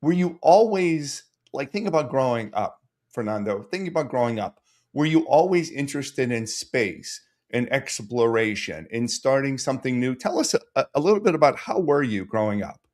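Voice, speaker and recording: A man's voice: medium pitch, sing-song, normal speed, a little mellow. A man hosting a podcast, reading a guest introduction and then chatting in an upbeat, conversational way. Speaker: podcast host. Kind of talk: conversational interview.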